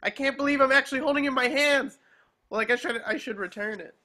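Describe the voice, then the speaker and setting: A performer playing an excitable, childlike male sea sponge in a cartoon table reading, gushing and pleading. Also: high voice, fast talker. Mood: starstruck, giddy.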